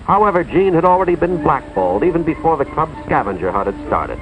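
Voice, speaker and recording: An American man playing an earnest, authoritative school official, narrating a cautionary tale in a deliberate, moralizing 1950s educational film style, with dramatic emphasis. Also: on the high side, sing-song. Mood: sympathetic, grave. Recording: tinny, band-limited 1950s film soundtrack, music behind.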